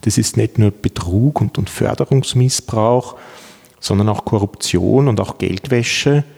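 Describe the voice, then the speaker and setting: A man's voice: on the low side, a little slow, vocal fry, darkish tone, breathy edge, long reverb tail. A middle-aged man from Vienna with an Austrian accent, a law professor answering interview questions with many fillers and restarts. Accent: Viennese Austrian German.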